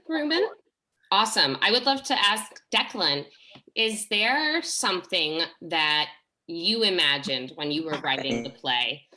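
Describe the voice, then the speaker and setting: A woman's voice: on the low side, sharp tone. A woman, a theater director, talking in an informal discussion on a video call.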